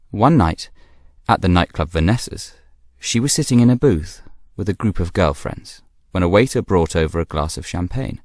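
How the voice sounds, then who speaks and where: A man's voice: sits low, a little mellow, no echo, studio quality. An actor with a British accent playing a self-assured, scheming young man, confiding his story in a radio drama monologue with arch, knowing asides.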